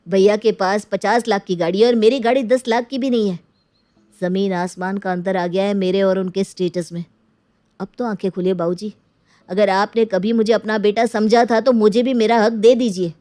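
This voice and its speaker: A woman's voice: medium pitch, fairly even intonation, moderate pace, warm tone, no room sound, lightly acted. Woman playing aggrieved young man, bitter, proud, insistent, moral storytelling, dry sound. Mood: bitter, pleading. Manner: complaining, insistent.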